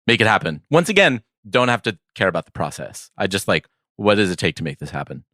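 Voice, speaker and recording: A man's voice: fairly low pitch, very animated, quick pace, pushed and bright, dry recording, clean studio sound. A man co-hosting a podcast, chatting casually about sports in a thoughtful, conversational, slightly hedging way. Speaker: podcast co-host.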